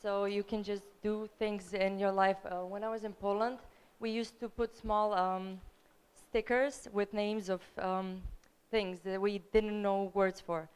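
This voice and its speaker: A young woman with a Serbian accent, a native Serbian speaker, sharing her experience from the audience in a friendly, hesitant way with many pauses. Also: mid-range voice, flat delivery, darkish tone, smooth. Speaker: audience member. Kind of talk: spontaneous audience comment. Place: lecture hall.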